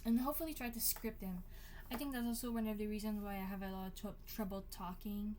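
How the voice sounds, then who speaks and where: A woman's voice: mid-range voice, fairly even intonation, a little mellow, slight background noise. Young woman mumbling through a ramble. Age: 20s. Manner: halting, mumbles and chews her words. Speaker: vlogger. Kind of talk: unscripted casual monologue to camera.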